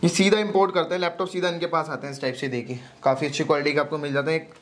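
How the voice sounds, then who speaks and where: A man's voice: fairly high pitch, fairly even intonation, rapid-fire. A young man hosting a casual vlog inside a laptop shop and warehouse, upbeat and talking straight to his viewers. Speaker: vlog host.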